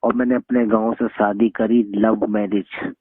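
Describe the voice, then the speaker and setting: A man's voice: lowish voice, little pitch movement, measured pace, breathy edge, dry recording. A young man, arguing bluntly and scoldingly in a phone conversation. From northern India. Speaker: phone call recipient. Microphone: telephone. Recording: tinny phone-line call recording.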